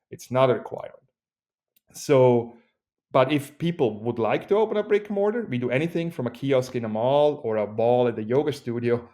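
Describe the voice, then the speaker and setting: A man with a Swiss accent, a company CEO speaking as a guest in a podcast interview, with an energetic, joking pitchman delivery. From Switzerland.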